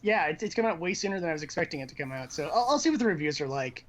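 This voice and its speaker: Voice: medium pitch, animated, racing. A person talking casually and informally as a co-host on a gaming stream, opinionated and mildly profane. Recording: dull lo-fi voice-chat audio.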